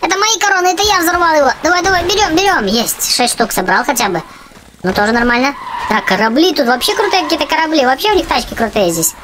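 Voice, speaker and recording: A woman hosting a gaming channel for children, giving chatty, excitable running commentary on her play. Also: sits high, fast, noisy background. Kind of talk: casual game commentary for children. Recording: game music behind the voice. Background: video game music.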